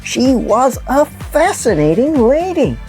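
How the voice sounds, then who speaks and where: Animated, mellow puppet news presenter played by a woman. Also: sits high, takes it slowly, faint music behind, exaggerated voice. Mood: informative. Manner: clear storytelling, explains simply. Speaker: host of children's news show. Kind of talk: scripted presenting for children.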